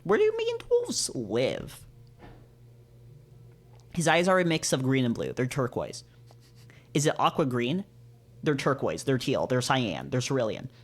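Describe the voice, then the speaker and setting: एक आदमी, मज़ाकिया अंदाज़, खूब उतार-चढ़ाव वाली आवाज़. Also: male voice, medium pitch, wildly swooping pitch, unhurried, pauses often. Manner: playful, jokey, breaks off into questioning asides. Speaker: streamer reading visual novels aloud. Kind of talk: casual live read-aloud with joking asides.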